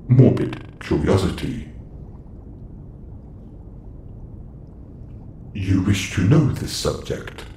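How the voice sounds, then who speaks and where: A deep-voiced android commander, acted by a man, formal and clinical in an audio roleplay. The delivery is slow yet swings widely in pitch, with a roomy echo around it. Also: mellow, exaggerated voice. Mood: clinical, explanatory. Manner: precise, formal, clinical phrasing. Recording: roomy echo, faint background noise.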